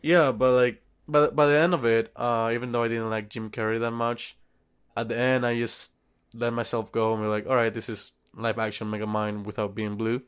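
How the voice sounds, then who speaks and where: A man chats as co-host of a film review podcast. He is blunt and very informal, with a mid-pitched voice and a loose conversational delivery. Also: level tone, normal speed, clear, resonant tone. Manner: blunt, informal, swears casually. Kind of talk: casual film review chat.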